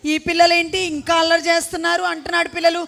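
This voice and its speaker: A woman's voice: very high-pitched, flat delivery, quick pace, gravelly, loud music behind the voice. A woman with an Andhra Telugu accent hosting a children's puppet show, asking questions and repeating answers back to the kids.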